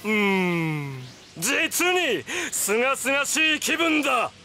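A man's voice: extremely high pitch, big pitch swings, extremely slow, sharp tone, echoey room, in a large hall, soft background music, full character voice. A man voicing a gloating, megalomaniac immortal evil emperor in a video game, theatrical and grandiose. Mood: manic gloating.

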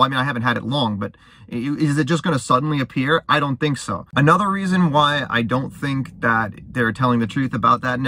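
Bright-voiced young man chatting to camera. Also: medium pitch, no echo. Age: young adult. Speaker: car owner vlogger. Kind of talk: casual talk to camera. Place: inside a parked car.

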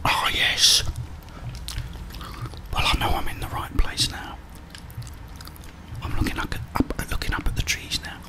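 A middle-aged man whispers ASMR gameplay commentary in a breathy voice, muttering and mildly grumbling to himself. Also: a little slow, long pauses. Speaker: gamer, ASMR video host. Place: indoors, small room at home.

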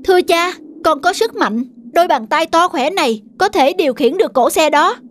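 Woman voicing a high-voiced, headstrong young demigod. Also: animated, moderate pace, faint music behind. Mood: boastful, pleading. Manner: earnest, insistent, theatrical. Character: headstrong eighteen-year-old demigod son, boastful and eager. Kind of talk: dubbed mythological cartoon acting.

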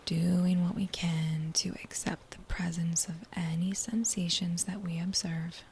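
A woman guiding a body scan meditation in a soft, breathy near-whisper, with a gentle, soothing manner and unhurried phrases. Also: fairly low pitch, level tone. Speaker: meditation guide. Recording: faint background noise.

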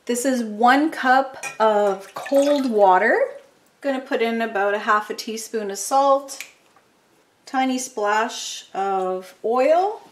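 A woman chatting through a cooking demonstration in her home kitchen, upbeat and thinking aloud with frequent ums. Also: on the high side.

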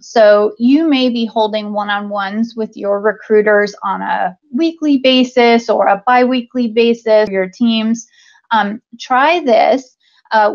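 A woman in her thirties giving a conference talk over slides, speaking conversationally with many ums and restarts, via a webcam on a video call. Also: medium pitch. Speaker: conference speaker, talent acquisition leader. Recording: dull lo-fi video call audio.

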